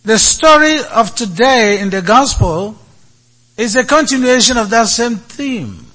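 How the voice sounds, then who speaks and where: A man giving a sermon as a priest, speaking in short, deliberate phrases with many pauses. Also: extremely high pitch, animated, bright, forceful tone.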